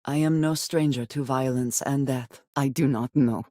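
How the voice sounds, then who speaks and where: An actress playing a guarded, formal woman spacefarer in a video game, loyal but wary and dry, with measured phrasing and few contractions. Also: very deep voice, fairly even intonation, warm tone, no echo. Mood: grave. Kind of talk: video game voice acting, scripted dialogue.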